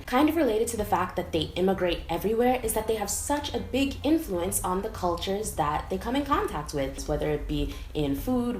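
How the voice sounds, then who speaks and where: A young American woman talking casually to camera in a vlog, chatty and animated, with lots of "like" fillers. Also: low voice. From United States. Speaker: vlogger. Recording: echoey room, faint background noise.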